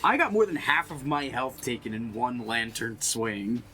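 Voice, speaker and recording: A player in a tabletop game chatting casually and excitably, interjecting often and jumping up into squeals, with music behind. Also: normal speed. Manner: animated, interjecting, jumps into high squeals. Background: background music.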